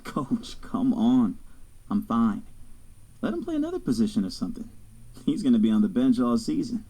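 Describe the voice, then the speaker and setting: Guy playing young college athlete telling his story, guarded n wry, raspy mellow voice. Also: medium pitch, sing-song, moderate pace, low-quality recording. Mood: unsettled. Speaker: narrator and lead character. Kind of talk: first-person audio drama narration.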